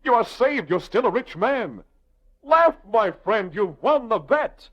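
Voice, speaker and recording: High-pitched excitable friend, dull recording. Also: male voice, measured pace, full character voice. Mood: exultant. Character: excitable male friend of a gambler, urgent, exultant. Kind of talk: old time radio drama acting.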